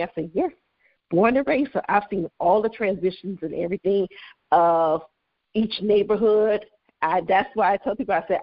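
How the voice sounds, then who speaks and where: A woman's voice: on the low side, no room sound, dull audio. A woman from Miami, chatting as a guest in an interview with frequent fillers and restarts. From Miami, Florida. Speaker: guest, real estate broker. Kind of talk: casual interview chat.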